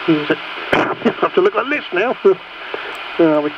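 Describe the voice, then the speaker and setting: High male voice through noisy, dull CB radio. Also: animated, unhurried. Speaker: net controller. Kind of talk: informal CB radio net calls. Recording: muffled, noisy CB radio transmission.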